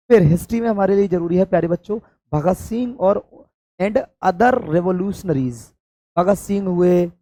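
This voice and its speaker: A hoarse man from India, teaching an exam-prep lesson and stressing points by repeating them. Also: high-pitched, warm tone, no echo. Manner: addresses students affectionately, emphatic repetition. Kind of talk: classroom-style exam coaching lecture.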